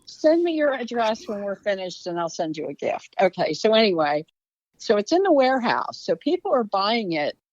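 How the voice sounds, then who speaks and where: A woman's voice: mid-range voice, sing-song, normal speed, no room sound, highs cut off. An older woman with a Baltimore accent, chatting as a guest author on a podcast. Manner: chatty, warm storyteller. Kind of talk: casual podcast conversation.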